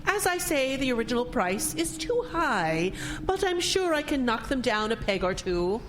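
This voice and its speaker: A woman's voice: fairly high pitch, very animated, moderate pace, noisy background. A woman acting in a radio drama as a prim, wealthy, pious spinster with a formal, imperious and sanctimonious manner. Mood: haughty, firm.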